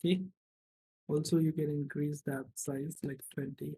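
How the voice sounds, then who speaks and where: A man's voice: fairly high pitch, level tone, normal speed, warm tone, breathy edge, low-quality recording. A man narrating an informal step-by-step screencast as a programming instructor, often checking in with "right" or "okay".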